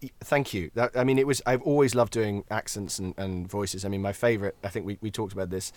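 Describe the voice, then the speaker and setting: A man with a fairly low voice, recorded close and dry. He talks like a podcast guest, rambling through anecdotes and advice, joking and cutting into his own sentences. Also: fairly even intonation, fairly quick, no echo. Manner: rambling, self-interrupting, jokey. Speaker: guest, voice actor and voiceover tutor. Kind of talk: chatty podcast interview, anecdotes and career advice.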